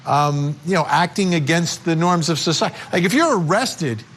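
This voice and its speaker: A man hosting a live legal news interview, speaking in emphatic, deliberate phrases. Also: on the high side, expressive, a bit bright, husky. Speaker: TV news host.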